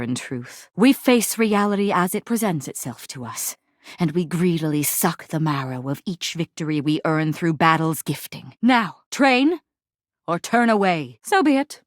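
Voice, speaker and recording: A woman's voice: mid-range voice, dry recording, studio quality. A woman playing a proud, battle-obsessed warrior trainer in a video game, declaiming in pompous, archaic, theatrical speech. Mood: solemn challenge.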